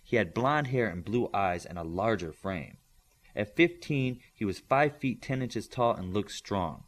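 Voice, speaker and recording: Man reading a story, lively pitch. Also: measured pace. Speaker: audiobook narrator. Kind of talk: scripted story narration.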